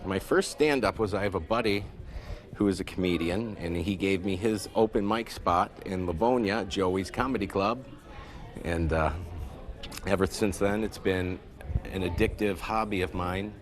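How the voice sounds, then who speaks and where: A man's voice: low-pitched, normal speed, crowd noise. A man, an amateur comedian, speaking plainly and matter-of-factly in an interview. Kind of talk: casual interview.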